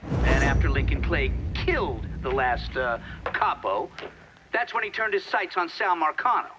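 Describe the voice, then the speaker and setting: Older American male senator, formal interrogator, animated intonation, video game drama. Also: fairly high pitch, a little slow, music in the background. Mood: formal, probing. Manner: formal, official questioning.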